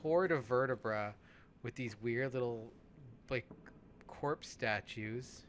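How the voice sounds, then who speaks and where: A man gives improvised, casual game commentary in a rambling, halting way, often restarting and trailing off. His voice sits at an average male pitch, with steady noise audible behind it. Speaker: let's play podcast host.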